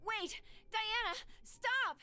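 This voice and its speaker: A woman's voice: very high-pitched, extremely slow, exaggerated voice. A woman voicing a distraught young video game character, pleading and accusing. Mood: desperate, frantic. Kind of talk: dramatic video game voice acting. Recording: game audio under loud music.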